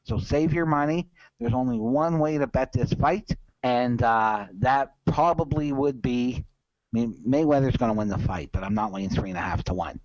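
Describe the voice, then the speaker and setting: A man's voice: mid-range voice, moderate pace, a little mellow, no room sound, highs cut off. A man hosting a sports betting podcast in casual commentary, opinionated and emphatic, repeating his points for stress.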